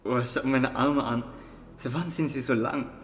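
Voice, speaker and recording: Slow-talking easygoing young man, acted. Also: medium pitch, a little hiss or hum, natural voice. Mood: giddy, stoned. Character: giddy young man, Aaron, high on his first joint. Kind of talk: contemporary amateur radio play.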